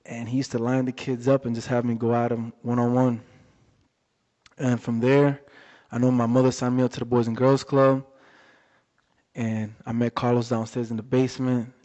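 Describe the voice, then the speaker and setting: A young man being interviewed about his boxing life, talking in a relaxed, halting way with many fillers. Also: mid-range voice. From Worcester, Massachusetts area. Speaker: boxer, interview guest. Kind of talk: casual interview chat. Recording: dull lo-fi audio in a reverberant room.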